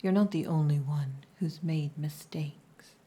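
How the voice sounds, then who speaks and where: A woman acting a stern, possessive orc huntress in an ASMR roleplay, breathy and commanding, often close to a whisper. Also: deep voice, measured pace, warm tone. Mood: probing, somber.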